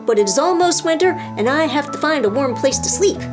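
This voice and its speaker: Woman as a small hurried frog, high voice. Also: lively intonation, over loud music. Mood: apologetic. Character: small, polite male storybook frog.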